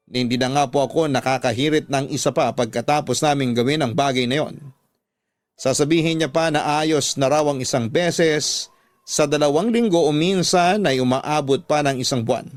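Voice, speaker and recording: A man's voice: a little high, no echo. A man with a Filipino accent reading a confessional love story as scripted dramatic radio narration. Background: background music.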